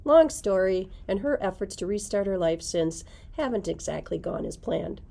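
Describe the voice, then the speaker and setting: Mellow-voiced middle-aged woman, medium pitch. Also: expressive, moderate pace, vocal fry. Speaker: librarian presenting book picks. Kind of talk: book recommendations, reading blurbs aloud. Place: library room with bookshelves.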